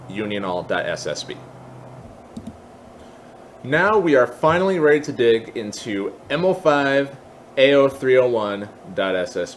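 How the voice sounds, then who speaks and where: A man speaks at a medium pitch over faint background noise. He explains software step by step as a tutorial host, partly off the cuff. His delivery is casual and instructive, with a light self-deprecating touch.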